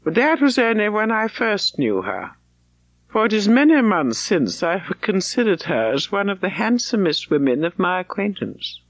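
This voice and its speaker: A woman playing an intense, proud young gentleman. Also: mid-range voice, very animated, normal speed, no room sound, muffled recording. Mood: ardent.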